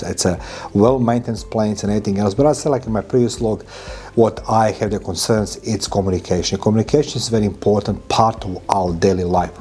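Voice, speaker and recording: Croatian guy vlogging to camera, lowish mellow voice, emphatic storyteller, accented non-native english. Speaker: vlogger and podcast host. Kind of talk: informal travel vlog monologue to camera. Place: furnished indoor room, hotel-like.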